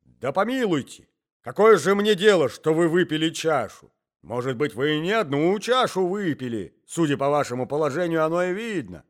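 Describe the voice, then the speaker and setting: A man voicing a fussy, indignant old husband in a story, shouting, repeating himself and sputtering. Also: on the high side, big pitch swings, moderate pace, voice pushed up.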